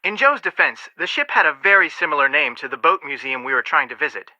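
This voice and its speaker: A man's voice: a little high, animated, quick pace, pushed and bright, no echo, dull audio. An actor playing an earnest, level-headed young male detective in a video game, speaking articulately. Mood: wry, helpful. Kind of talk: video game phone dialogue.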